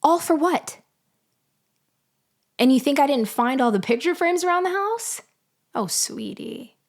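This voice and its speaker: A woman playing a betrayed, vengeful ex-girlfriend in an audio roleplay monologue, taunting with deliberate, condescending delivery. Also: a little high, gravelly, full character voice. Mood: angry, accusing, then cold, scornful. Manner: slow, deliberate, condescending, with sighs and pauses. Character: betrayed, vengeful ex-girlfriend confronting her framer, coolly taunting. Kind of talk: girlfriend ASMR-style dramatic roleplay monologue.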